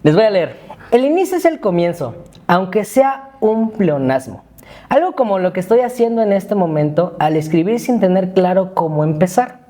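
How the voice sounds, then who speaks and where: A man's voice: high-pitched, very animated, normal speed, warm tone. Joking Mexican man in his thirties. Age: 30s. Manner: chatty and joking, reads his own essay aloud. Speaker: podcast co-host. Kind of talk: casual podcast chat.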